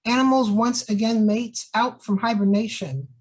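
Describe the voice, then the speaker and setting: A woman from Queens, New York, with a New York accent, chatting and reading her poetry gently. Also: fairly high pitch, fairly even intonation, normal speed, breathy edge. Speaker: poet.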